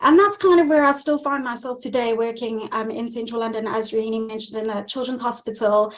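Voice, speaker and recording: A woman's voice: a little high, a little fast. A woman with a South African accent, a dietitian in her thirties, presenting a webinar in an earnest way and repeating words for emphasis. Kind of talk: presentation talk.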